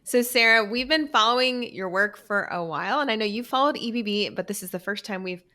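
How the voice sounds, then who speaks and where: A woman's voice: fairly high pitch, fairly quick, sharp tone. A woman hosting a podcast, reading an upbeat introduction and asking interview questions. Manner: upbeat, enthusiastic. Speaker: podcast host.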